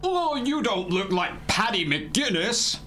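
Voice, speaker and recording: A doddery old sultan, put on by a woman in a comic sketch. He speaks slowly, in a much deeper put-on voice with a bright, forceful edge. He sounds vague and muddled. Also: very animated, faint music behind. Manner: slow, vague, mishears things. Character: doddery old male sultan, Jasmine's father.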